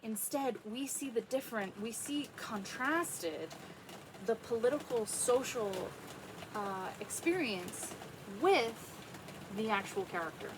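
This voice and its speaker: A woman giving casual, opinionated commentary on literature in an emphatic way, with dramatic pauses. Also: a little high, lively intonation, noisy background.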